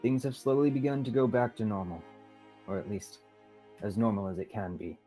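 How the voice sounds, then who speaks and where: A man's voice: fairly low pitch, moderate pace, dark tone, faint music behind. A young man reading scripted narration for a radio theatre play. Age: young adult.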